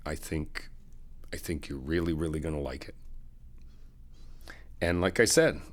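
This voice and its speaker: A middle-aged man in an ASMR friend roleplay, talking in an easygoing, reassuring, chatty way with soft laughs. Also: extremely low pitch, measured pace. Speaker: ASMR roleplay creator playing a best friend.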